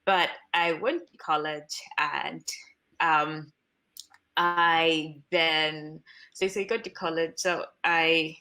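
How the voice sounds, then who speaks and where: A woman's voice: low voice, fairly even intonation, takes it slowly, bright, forceful tone, rough recording. A young woman in her twenties from Zambia, talking casually on a video call as a guest, with many fillers and restarts. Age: 20s. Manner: chatty, many fillers and restarts, laughs often. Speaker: guest software engineer.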